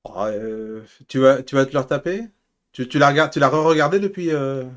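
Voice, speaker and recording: A man co-hosting a show in casual, colloquial, halting chat with short interjections. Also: mid-range voice. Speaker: co-host of an anime news show.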